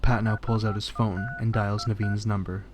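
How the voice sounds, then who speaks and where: Flat, low-voiced man narrating over noise. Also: moderate pace. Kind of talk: scripted present-tense story narration.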